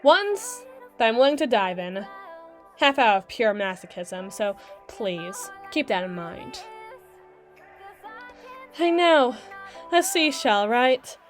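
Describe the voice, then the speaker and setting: Woman acting a high-voiced, condescending mermaid lawyer over music. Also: very animated. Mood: wry, exasperated. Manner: articulate, pointed, lecturing with deliberate dramatic pauses. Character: brisk, condescending mermaid lawyer, dry-witted and blunt. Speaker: audio roleplay performer. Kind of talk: ASMR roleplay monologue, scripted. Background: background music.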